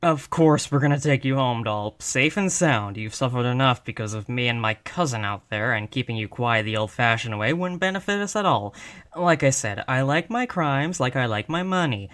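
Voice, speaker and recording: A man's voice: medium pitch, lively intonation, moderate pace, fairly bright tone, lightly acted. A man playing an exasperated, wry mafia boss in a conversational roleplay audio drama. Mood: apologetic, flirtatious.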